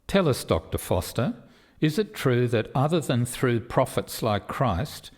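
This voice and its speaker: An actor playing a disapproving alien committee guest in a radio play, asking formal, pointed questions. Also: male voice, mid-range voice, big pitch swings, a little slow. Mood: probing, disapproving.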